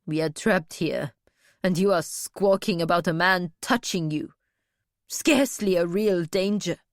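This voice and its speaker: A woman's voice: mid-range voice, unhurried, no echo, clean studio sound, close to an everyday voice. A woman voicing a cruel, domineering female leader in an audiobook, cold, curt and scornful. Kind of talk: audiobook character voice.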